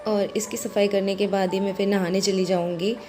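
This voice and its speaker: A woman with a hoarse voice, chatting through her home chores as a vlog voice-over and explaining each step. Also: mid-range voice, little pitch movement, fairly quick, over loud music. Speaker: vlogger.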